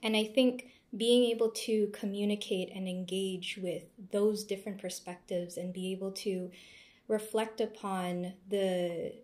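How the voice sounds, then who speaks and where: A woman, a guest in an interview, speaking thoughtfully and reflectively with searching pauses. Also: mid-range voice, steady tone, darkish tone. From Canada. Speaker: interview guest, former seminar student. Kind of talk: casual conversational interview.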